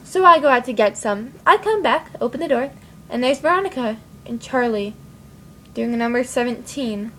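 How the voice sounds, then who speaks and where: A woman playing a stage performer who killed her sister and husband, telling it in a brisk, showy way. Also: on the high side, pushed and bright, a little hiss or hum.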